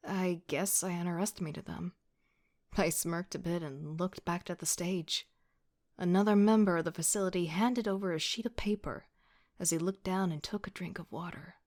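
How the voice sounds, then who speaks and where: A woman's voice: fairly low pitch, normal speed, darkish tone, natural voice. A woman playing a wry, casual young student team leader who narrates an audio drama in the first person with sardonic asides. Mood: wry, observant. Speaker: voice actor.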